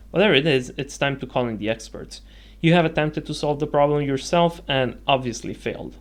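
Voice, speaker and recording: A man narrating a scripted video essay with dramatic, suspenseful storytelling phrasing. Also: mid-range voice. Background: music.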